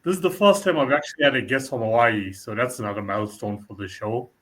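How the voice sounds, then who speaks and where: A man's voice: mid-range voice, quick pace, a bit bright. A man hosting a podcast in casual interview chat, often repeating short phrases and filling in with "you know". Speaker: podcast host.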